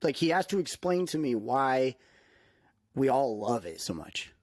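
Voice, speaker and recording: A man co-hosting a casual music podcast, telling stories emphatically with halting restarts, on a close microphone. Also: mid-range voice, very animated, no echo. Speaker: podcast co-host.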